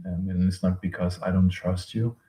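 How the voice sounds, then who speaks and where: A man in his late twenties, a language coach chatting casually with viewers on a live stream, rambling with many pauses and fillers. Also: sits low, level tone, echoey room, distant mic, background noise. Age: 20s. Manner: rambling, thinks aloud with many ums, reads out chat messages.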